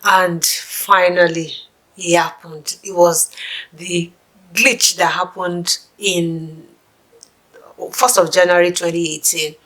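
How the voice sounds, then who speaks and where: Nigerian woman with a low voice. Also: flat delivery, slow, fairly bright tone, rough recording. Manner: chatty, musing, with asides and restarts. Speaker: vlogger for immigrants. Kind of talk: casual chat to camera.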